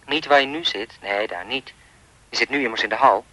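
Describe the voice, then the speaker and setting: A man's voice: medium pitch, brisk, pushed and bright, no echo, natural voice, rough recording. An actor playing a creepy, insistent anonymous caller in a radio play, needling and insinuating as he speaks. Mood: insistent, sinister.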